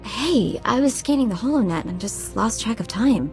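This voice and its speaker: A woman's voice: on the high side, animated, moderate pace, faint music behind. An actress playing a sassy young female hacker sidekick in a video game, with a wry, casual delivery. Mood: playful, affectionate.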